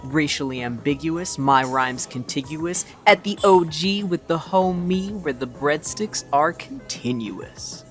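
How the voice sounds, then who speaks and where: A man voicing a cocky teenage boy from a comic game drama. He talks in a fairly high, lively voice with slangy, ironic cool and deadpan swagger. Faint music sits underneath. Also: a little slow, hardly any pauses. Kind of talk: slangy fan-dub game dialogue. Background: background music.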